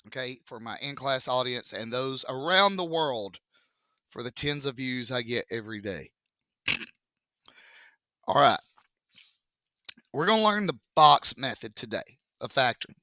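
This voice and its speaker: Male teacher with a froggy voice; slow, jokey, bright and forceful tone. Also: medium pitch, lively intonation, smooth, no echo. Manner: joking, chatty, slow step-by-step explaining. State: deep froggy voice from a cold. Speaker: math teacher. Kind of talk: casual classroom lesson video.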